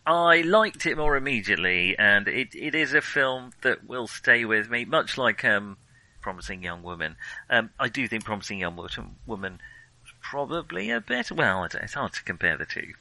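A man's voice: mid-range voice, very animated, moderate pace, bright, forceful tone, no room sound, low-quality recording. A man co-hosting a film podcast in casual conversation, hesitant and reflective with a wry streak.

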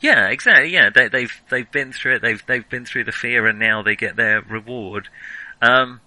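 A man co-hosting a casual film discussion, talking in a wry, animated, emphatic way. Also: medium pitch, normal speed, no echo, poor audio. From Europe. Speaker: podcast co-host.

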